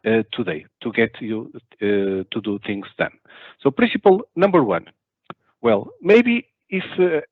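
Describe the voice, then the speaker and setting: Portuguese-accented man in his 30s, halting conference speaker, dry muffled webcam audio. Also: medium pitch, dry recording. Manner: halting, many filler uhs and restarts. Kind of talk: online conference talk. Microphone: video call webcam.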